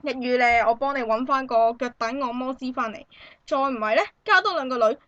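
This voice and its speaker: A woman's voice: high voice, fairly quick, dry recording, dull audio. A woman with a Hong Kong Cantonese accent acting an expectant young woman visiting a friend in a satirical radio drama, chatty, exclamatory and indignant. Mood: indignant, mocking. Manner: animated, exclamatory, colloquial.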